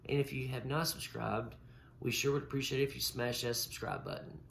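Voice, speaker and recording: Man, stutters, gravelly low voice, casual podcast co-host; echoey room, faint hiss. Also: fairly even intonation, fairly quick, fairly bright tone. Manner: stutters, repeating and breaking off sounds. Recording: roomy echo with faint noise.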